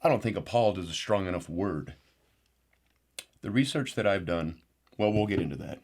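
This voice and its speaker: A man playing an excitable, paranoid male conspiracy pundit who speaks with indignant outrage. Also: normal speed. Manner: indignant, excitable, outbursts of rising pitch. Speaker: show regular. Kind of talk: comedic parody show.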